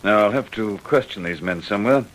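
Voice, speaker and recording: A man playing a calm, businesslike police constable in a radio drama, brisk and matter-of-fact. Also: on the low side, natural voice, poor audio.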